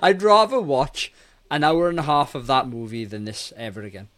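A man in his forties with an average-pitched voice talks casually as a podcast co-host. He rambles and hesitates as he works through his thoughts on a film. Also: big pitch swings, normal speed, fairly bright tone. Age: 40s.

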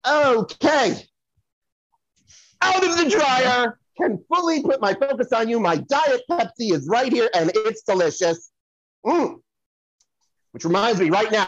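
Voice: mid-range voice, no room sound. Scatterbrained celebrity psychic, played by an actor, theatrical and rambling, swooping intonation, slightly muffled lo-fi sound. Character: scatterbrained, self-promoting psychic to the stars, easily distracted. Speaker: psychic guest on a podcast. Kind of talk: comic improvised audio sketch.

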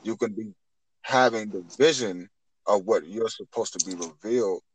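A man in his twenties with a fairly low voice talks in an earnest, teacherly way about spiritual matters. He often repeats a phrase and rewords it as he works toward his point. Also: moderate pace, slight background noise, poor audio. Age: 20s. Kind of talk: spiritual talk in conversation.

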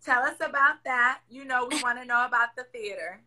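A woman hosting a podcast, with a raspy voice, talking loudly and emphatically in casual chat. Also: fairly high pitch, fairly quick. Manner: loud, emphatic, encouraging. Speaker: podcast host. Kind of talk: casual chat over a video call.

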